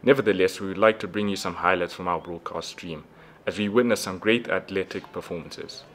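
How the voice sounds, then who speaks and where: A man's voice: low-pitched, steady tone, brisk, slight background noise. A man presenting a school newsreel in scripted news narration.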